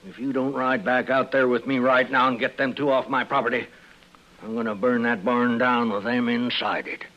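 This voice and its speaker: A man's voice: mid-range voice, flat delivery, normal speed, pushed and bright, natural voice. A man acting a stubborn, vengeful old rancher with a rural American accent and a blustering, harsh manner in a 1950s radio western. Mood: angry, stubborn. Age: older. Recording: muffled, noisy old radio recording.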